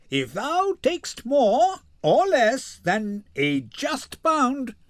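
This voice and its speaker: Stern young woman in judge's disguise, played by a man: slow, emphatic Shakespearean stage delivery, very dry close sound. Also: extremely high pitch, a bit bright, no echo, heavily acted. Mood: commanding. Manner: measured, declamatory, emphatic. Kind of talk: dramatic Shakespeare reading.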